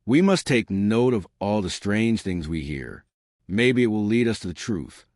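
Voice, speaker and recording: A man's voice: lowish voice, sing-song, measured pace, a little mellow, no room sound, studio quality. A man voicing a brave, adventurous teenage girl in a horror storybook reading, speaking eagerly and with determination.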